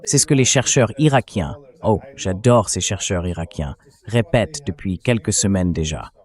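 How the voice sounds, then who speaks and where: Lower-pitched man giving emphatic political commentary. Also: lively intonation, moderate pace, darkish tone. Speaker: political analyst, interview guest. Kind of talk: opinionated political commentary, dubbed translation read by AI voice.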